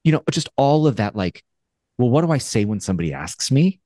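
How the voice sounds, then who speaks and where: A man in his forties co-hosting a podcast over a video call, telling a story in a casual, animated way and acting out his own thoughts. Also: mid-range voice, brisk, no room sound, studio quality. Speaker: podcast co-host. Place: home studio with brick wall. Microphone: headphones and studio mic on a video call.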